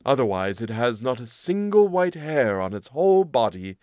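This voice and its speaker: Man doing a sly, lively storybook tomcat. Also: medium pitch, moderate pace, no room sound, studio quality, close to an everyday voice. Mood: coaxing. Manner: persuasive, cool, self-assured. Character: sly, greedy, smooth-talking male cat. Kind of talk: fairy tale storytelling.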